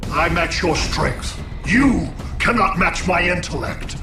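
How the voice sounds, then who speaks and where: A man playing a cold, arrogant alien genius villain in a video game, speaking in haughty, measured, formal sentences. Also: sits high, lively intonation. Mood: menacing. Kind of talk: theatrical video game cutscene acting. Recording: echoing, distant voice under loud music.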